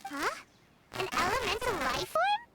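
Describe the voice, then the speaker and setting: A very high, squeaky voice for a small floating game creature. She is chatty and excitable, speaks in exclamations and sounds childlike. Faint noise sits behind the voice. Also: a little slow, full character voice. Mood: curious. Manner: exclamatory, refers to herself by name. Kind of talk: video game character acting.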